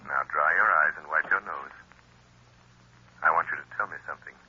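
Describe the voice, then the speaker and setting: A man playing a calm, mysterious invisible crime-fighter who speaks in a soothing but commanding way, on an old radio broadcast. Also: extremely low pitch, a little fast, slightly rough, highs cut off.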